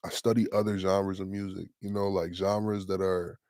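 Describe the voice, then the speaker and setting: A young man in his twenties, a rapper with a deep voice, talking casually and loosely in an interview. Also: flat delivery, darkish tone, no echo, studio quality. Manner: laid-back, slangy, drawn-out affirmations. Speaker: rapper, guest.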